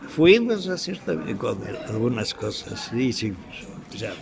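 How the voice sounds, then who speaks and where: An older man with an Ecuadorian accent, a veteran radio actor reminiscing in an interview, haltingly searching for his words. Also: medium pitch, very animated, creaky.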